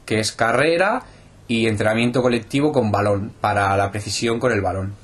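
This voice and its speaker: A man's voice: on the low side, moderate pace. A young man from Spain with a southern, Andalusian-tinged accent, explaining things steadily in an interview with frequent hesitations. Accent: Andalusian-tinged Spanish. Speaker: interviewed footballer. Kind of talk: casual interview answers.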